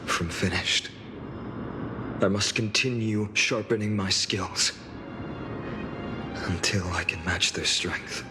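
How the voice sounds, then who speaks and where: Earnest low-voiced young swordsman, long reverb. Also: slow pace, long pauses, music in the background. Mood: solemn, resolute. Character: earnest young male swordsman, serious, dutiful, self-reproaching. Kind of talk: voiced game story dialogue.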